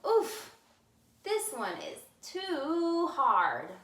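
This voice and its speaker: A woman's voice: sits high, huge pitch swings, drawn-out words, fairly bright tone, far from the mic, pitched above the performer's own voice, exaggerated voice. A woman voicing a fussy, curious little girl in a storybook reading, full of exclaims. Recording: echoey room.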